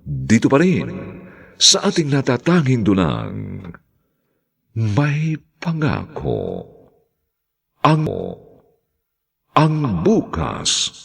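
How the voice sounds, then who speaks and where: A man hosting a radio drama, reading in a scripted, theatrical way with drawn-out phrases and deliberate pauses. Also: medium pitch, huge pitch swings, creaky, husky. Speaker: radio drama host and announcer.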